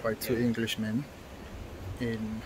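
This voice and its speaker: A man's voice: fairly low pitch, slow, over a noisy crowd, rough recording. A man in his thirties vlogging a walk through a seaside cave, speaking casually and curiously to a handheld camera. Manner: relaxed, curious, chatty. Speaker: travel vlogger. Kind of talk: casual travel vlog narration. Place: outdoor seaside cave and cliffs. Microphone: handheld camera at arm's length. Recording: outdoor noise behind.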